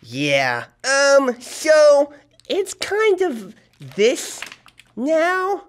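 A man's voice: very high voice, sing-song, very slow, exaggerated voice. A man acting in a comedic kids' skit, talking in a goofy, overeager, playful way. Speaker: skit actor and mock video host.

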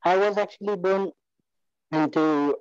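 A man's voice: high-pitched, measured pace, clean tone, no room sound. Young man. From Afghanistan. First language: Pashto. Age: young adult. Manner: hesitant, many fillers and restarts. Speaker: discussion participant. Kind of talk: casual self-introduction. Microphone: video call. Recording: slightly muffled dry video call audio.

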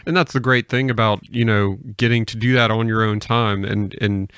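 A man's voice: on the low side, steady tone, moderate pace, a bit bright, clean tone, no echo. A young man hosting a podcast, chatting casually on a close microphone. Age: young adult. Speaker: podcast host. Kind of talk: casual chat. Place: small podcast studio.

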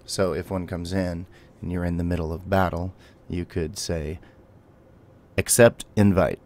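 A man's voice: sits low, level tone, a little slow, a little mellow, slight background noise. A man giving a gameplay tutorial, mixing clipped spoken commands with casual explanation. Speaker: tutorial host.

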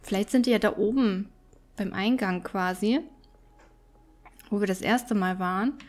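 A woman's voice: mid-range voice, unhurried. A woman giving casual, chatty gameplay commentary, thinking aloud as she plays. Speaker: let's player.

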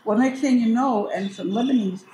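A woman's voice: a little high, a little fast, mellow. An older woman, a grandmother, casually reminisces in a rambling, anecdotal way, repeating phrases as she trails off. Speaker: storyteller guest, grandmother. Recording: echoey space with background noise.

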